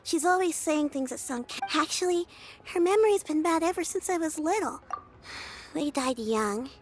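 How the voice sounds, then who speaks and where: A woman's voice: high-pitched, lively intonation, normal speed. A woman voicing a kind, patient and earnest young girl in a video game, youthful and a little apologetic. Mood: resigned, reassuring. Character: kind young girl, cheerful, patient carer of her grandmother. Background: faint game music.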